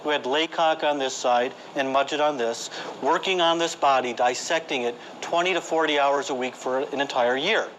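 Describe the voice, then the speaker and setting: A male expert with a medium-pitched voice explains things in an emphatic, demonstrative way for a documentary interview. There is slight noise behind him. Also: moderate pace, fairly bright tone, poor audio.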